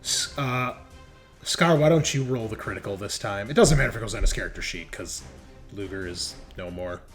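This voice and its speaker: A man's voice: lowish voice, animated, a little fast, music in the background. A man acting as game master, narrating a tabletop roleplaying game in a casual, descriptive way. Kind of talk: improvised game narration and rules calls.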